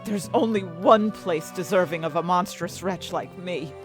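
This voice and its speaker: A woman's voice: on the low side, measured pace, music in the background, natural voice. A woman acting an anxious, desperate young heroine who tells her own fantasy story in intense, dramatic first-person narration. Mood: panicked. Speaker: narrator and main character of an audio fiction story.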